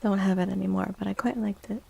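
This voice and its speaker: A woman livestream host chatting in a soft, gentle ASMR style close to the mic, drifting now and then toward a breathy whisper. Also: mid-range voice, fairly even intonation, fast talker. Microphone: close microphone.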